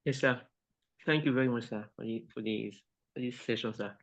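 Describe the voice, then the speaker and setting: A man's voice: mid-range voice, brisk, vocal fry. A man, a mentorship participant, sharing a reflection politely and hesitantly, with many restarts. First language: Yoruba. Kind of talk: sharing a reflection on a video call. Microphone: online call audio. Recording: dull lo-fi call audio.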